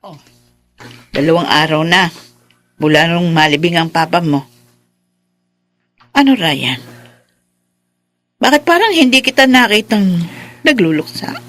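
An actress playing a proud, entitled middle-aged widow with a fiery temper and emphatic, dramatic delivery in a Filipino radio drama. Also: female voice, lowish voice, expressive, a little slow, pauses often, fairly bright tone, no echo, soft background music. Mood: composed, entitled.

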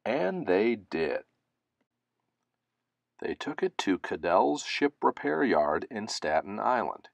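Guy reading a kids book out loud, unhurried, really up and down, close dry sound. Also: lowish voice, very animated, creaky, dry recording. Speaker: storybook reader. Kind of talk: children's picture book read-aloud.

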